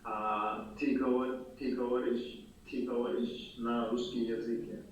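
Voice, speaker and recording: A Kazakh-speaking man from Kazakhstan learning Russian, answering in hesitant, halting repetitions. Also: mid-range voice, little pitch movement. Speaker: language student. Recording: echoey room, distant mic, faint noise.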